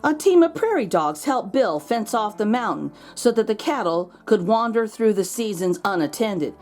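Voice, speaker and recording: A woman reading a children's picture book aloud as host, expressive, drawing out words for drama. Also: mid-range voice, moderate pace, soft background music. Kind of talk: animated storybook read-aloud for children.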